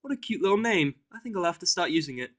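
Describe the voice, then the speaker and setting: A man's voice: high voice, lively intonation, quick pace, fairly bright tone, pitched above the performer's own voice. A man voicing a coy, teasing female unicorn musician with a playful, cooing manner.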